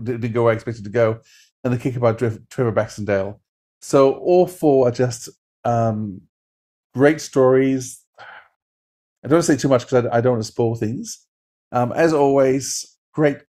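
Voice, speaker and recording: A middle-aged man in casual podcast review chat on a video call, enthusiastic but halting. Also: mid-range voice, a little mellow. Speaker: podcast co-host reviewing audio dramas. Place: home room, on a video call.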